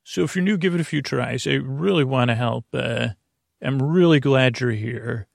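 Male sleep podcast host: gravelly creak, close dry sound, soothing, wandering, stop-start delivery. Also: medium pitch, no echo. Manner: meandering, halting, repeats himself, drifts into tangents; soothing, lulling. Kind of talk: rambling bedtime sleep podcast monologue.

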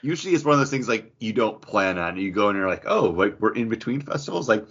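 A man with a low, forceful voice chats casually as a podcast co-host. He slips into quoted dialogue as he tells stories. The recording is slightly dull and lo-fi. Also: sing-song, fast talker, slightly rough. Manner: anecdotal, acts out remembered dialogue. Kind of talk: casual chat among friends. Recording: dull, band-limited audio.

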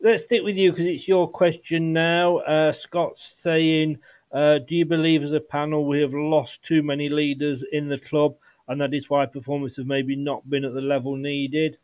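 A man hosting a football fan podcast, reading out questions in a relaxed, hesitant way with plenty of fillers. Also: a little high, moderate pace. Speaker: podcast host. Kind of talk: casual chat.

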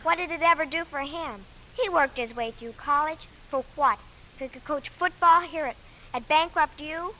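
High-voiced, cheeky little girl played by a woman. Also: moderate pace, natural voice. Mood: breezy, cheeky. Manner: wisecracking, matter-of-fact. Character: precocious, cheeky eleven-year-old girl, streetwise schoolgirl. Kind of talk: 1950s radio acting. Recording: hissy, dull 1950s radio recording.

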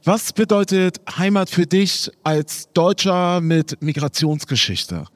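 A male podcast host moderating a live panel, courteous and attentive as he hands the floor to guests. His voice sits a bit high and sounds dry and close, with no room around it. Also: slow, no echo. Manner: polite, thanks guests and asks questions. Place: live event at an embassy.

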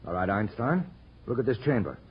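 Brisk, husky radio detective. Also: male voice, mid-range voice, expressive. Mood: insistent, wry. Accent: American. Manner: quick, wisecracking, insistent. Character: brisk, self-assured American private detective, wry and persistent. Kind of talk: 1940s radio detective acting. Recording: dull, lo-fi old radio recording.

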